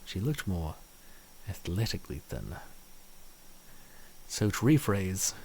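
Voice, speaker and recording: Male comic-dub narrator, lowish pitch, animated delivery, some noise behind the voice. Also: poor audio. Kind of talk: scripted story narration.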